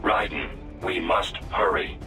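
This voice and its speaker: An actor voicing a coolly logical artificial companion in a video game, formal, precise and deadpan. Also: male voice, low voice, slow pace, far from the mic, soft background music, full character voice. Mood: formal, detached. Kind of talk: video game radio-call dialogue.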